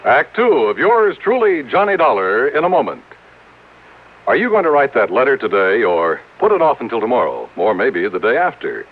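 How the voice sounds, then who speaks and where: A man's voice: mid-range voice, huge pitch swings, normal speed. An American man working as a 1950s radio announcer, reading scripted copy in a formal, emphatic, rhetorical way. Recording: narrow, tinny vintage radio recording.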